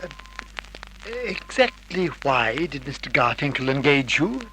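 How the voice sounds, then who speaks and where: An actor playing an anxious, placating film director with a polite, pleading manner in an old radio drama. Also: male voice, medium pitch, very animated, measured pace, pushed and bright, breathy edge, exaggerated voice, poor audio. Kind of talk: 1950s radio drama acting. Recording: old radio recording, faint noise.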